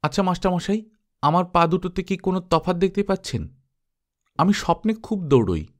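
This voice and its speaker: A man's voice: high-pitched, very animated, a little fast, voice pushed up. A man voicing an earnest, curious schoolboy who asks questions in a storybook. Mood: earnest, puzzled.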